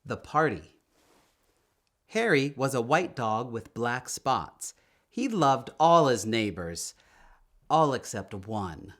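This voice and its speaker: A man reading a children's story aloud in an expressive, emphatic storytelling manner, drawing out words. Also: medium pitch, takes it slowly, long pauses. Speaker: storytime reader.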